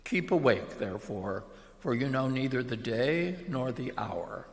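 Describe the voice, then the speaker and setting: A man's voice: medium pitch, sing-song, a little slow. A man giving a solemn liturgical scripture reading as a lay reader in a church service. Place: church during worship service. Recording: reverberant church hall with background noise.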